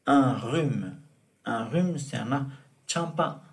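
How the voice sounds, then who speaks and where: Slow gravelly male language teacher. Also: medium pitch, expressive. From Tibet. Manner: slow and deliberate, repeating each word and example. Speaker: French teacher. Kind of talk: vocabulary lesson explained in Tibetan.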